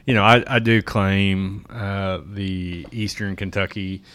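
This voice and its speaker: A man's voice: deep voice, little pitch movement, takes it slowly, a bit bright, clear, resonant tone. A man in his thirties from southern Indiana, chatting casually and joking on a podcast. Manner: joking, boisterous. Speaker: podcast host. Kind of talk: casual chat.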